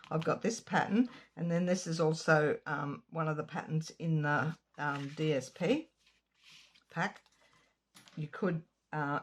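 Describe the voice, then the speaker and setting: A woman with a slightly croaky throat giving a chatty card-making tutorial, drifting into asides while she works. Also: low voice. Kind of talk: casual craft tutorial. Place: home craft room.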